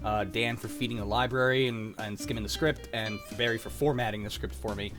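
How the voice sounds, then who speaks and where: A man's voice: on the low side, fairly even intonation, a little fast, fairly bright tone, soft background music. A young man hosting an online group in a casual, easygoing, accommodating conversational way. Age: young adult. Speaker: host of a live script-reading stream. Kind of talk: casual post-show group chat.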